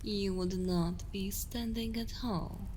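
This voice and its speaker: A woman playing an imperious, seductive evil queen in an ASMR roleplay, speaking deliberately and often slipping toward a whisper, commanding and condescending. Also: medium pitch, steady tone, slight background noise, natural voice. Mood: commanding, teasing. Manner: slow, deliberate, hushed delivery slipping into whispers. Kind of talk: ASMR roleplay, intimate dramatic monologue.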